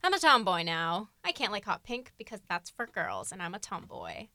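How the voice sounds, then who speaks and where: A teenage girl co-hosting a casual podcast chat, self-mocking and quick to laugh. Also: mid-range voice, wildly swooping pitch, pushed and bright, no room sound, studio quality. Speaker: podcast co-host.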